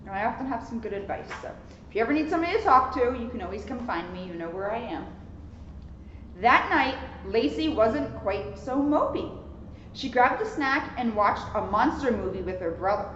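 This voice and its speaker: Animated high-voiced woman in a reverberant room. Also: normal speed. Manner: talkative, expressive. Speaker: police officer reading a children's book. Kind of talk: storybook read-aloud with casual chatty asides. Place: indoor lounge at a borough hall. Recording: echoey reverberant room, muffled, some noise.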